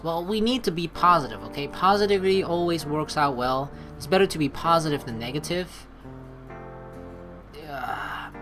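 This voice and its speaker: Expressive, low-pitched woman gaming streamer. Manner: expressive, sweary, dramatic. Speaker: let's play streamer. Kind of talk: casual gaming commentary, reading game text aloud and improvising replies. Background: game music.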